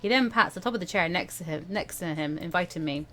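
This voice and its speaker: A woman hosting a let's play, reading a visual novel aloud in an expressive, playful, dramatic way. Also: fairly low pitch, fast.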